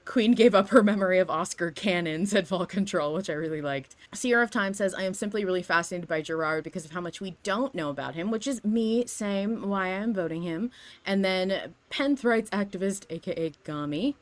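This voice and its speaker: A woman's voice: mid-range voice, brisk. A woman co-hosting a casual fan discussion podcast, speaking in an emphatic, opinionated way and swearing freely. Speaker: podcast co-host.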